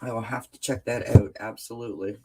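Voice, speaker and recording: A woman hosting a casual livestream from home with a stuffed-up nose, greeting viewers in the chat by name with pet names and often pausing on filler words. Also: rumbling low, steady tone, dark tone, slight background noise. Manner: frequent filler words and restarts. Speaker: livestream host. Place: indoors at home, lights off, sunny window behind.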